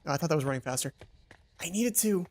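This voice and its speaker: Fast-talking low-pitched woman narrator. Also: lively intonation, darkish tone. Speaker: let's play host. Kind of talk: reading a visual novel story aloud. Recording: music behind the voice.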